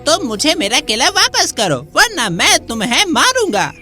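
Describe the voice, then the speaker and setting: A woman's voice: high-pitched, wildly swooping pitch, moderate pace, sharp tone, soft background music, voice pushed up, exaggerated voice. A woman voicing a small orphaned monkey child in a children's story, plaintive and earnest. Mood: indignant, whiny. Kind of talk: children's moral story dialogue.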